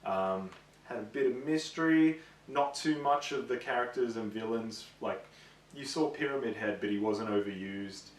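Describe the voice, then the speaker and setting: A man's voice: mid-range voice, moderate pace. A man co-hosting a gaming show, chatting casually and bluntly about his opinions. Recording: roomy echo, distant mic.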